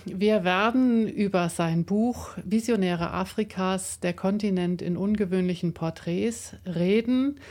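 Radiomoderatorin mit mittlerer Stimmlage, tastend formulierend. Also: female voice, medium pitch, a little mellow. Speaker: radio host interviewing a studio guest. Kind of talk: radio interview, semi-spontaneous moderation. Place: radio studio. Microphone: studio microphone.

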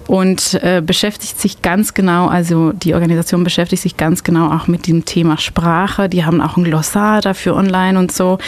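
A woman's voice: lowish voice, fairly even intonation, normal speed, few pauses. Hesitant woman radio host, non-native. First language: not German. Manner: hesitant, many fillers and restarts. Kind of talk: casual community radio chat. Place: radio studio.

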